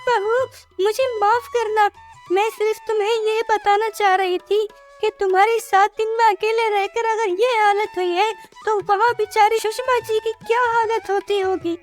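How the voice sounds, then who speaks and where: A woman's voice: very high voice, fairly even intonation, moderate pace. A woman playing a caring, concerned older mother-in-law who admonishes her family in a scripted moral story cartoon. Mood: reproachful. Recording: music behind the voice.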